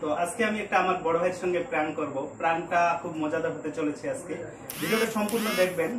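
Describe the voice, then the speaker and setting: A man's voice: fairly high pitch, rapid-fire, a crowd in the background. A young man talking casually and insistently, as a prankster on a phone call. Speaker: prank caller and video host.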